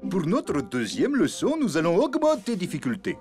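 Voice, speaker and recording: A man's voice: sits high, huge pitch swings. A man playing a grand, pompous celebrity chef in a children's cartoon, drawing out his words as he teaches. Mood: grand, instructive. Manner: pompous, drawn-out delivery. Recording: music under the voice.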